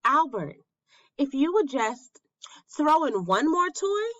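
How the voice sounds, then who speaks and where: A woman voicing an eager, pleading little boy mouse in a squeaky, excitable way for a children's storybook. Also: slow, dry recording, highs cut off, exaggerated voice. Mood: excited.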